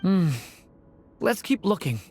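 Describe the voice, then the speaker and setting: A woman's voice: mid-range voice, sing-song, slow, warm tone, faint music behind. A woman voicing an earnest young dragon boy in a video game, hesitant, subdued and polite.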